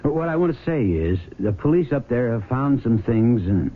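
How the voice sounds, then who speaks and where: An actor playing a steady, considerate small-town sheriff with a deliberate manner in a 1970s radio drama. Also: male voice, mid-range voice, lightly acted. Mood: careful, reassuring. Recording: muffled, noisy old radio broadcast.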